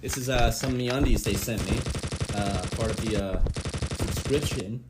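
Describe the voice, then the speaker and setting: A man co-hosting a casual podcast, rambling and joking, with a dry cough and a slightly raspy voice. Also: medium pitch, moderate pace, loud music behind the voice. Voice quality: a little raspy. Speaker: podcast co-host.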